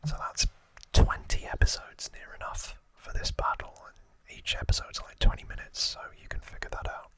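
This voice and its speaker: A man hosting ASMR, whispering informal trivia narration in a hushed, rambling way with casual asides. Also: moderate pace, hardly any pauses. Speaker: ASMR host.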